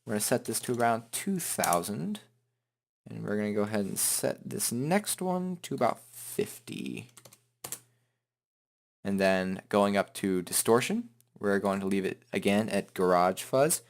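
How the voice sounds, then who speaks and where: Casting: male tutorial host, lowish pitch, loose and chatty, unscripted step-by-step delivery. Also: very animated, unhurried, gravelly. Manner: informal, rambling, hums filler syllables while working. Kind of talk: casual improvised software tutorial.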